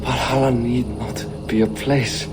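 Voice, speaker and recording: A man playing a proud, wistful dying warrior king in a cinematic video game scene, solemn and halting. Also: mid-range voice, flat delivery, close to an everyday voice. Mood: weary, proud. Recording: music behind.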